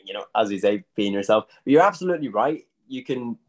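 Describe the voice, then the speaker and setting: A man, a magician talking in a relaxed, animated podcast chat and laughing often. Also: fairly low pitch, quick pace.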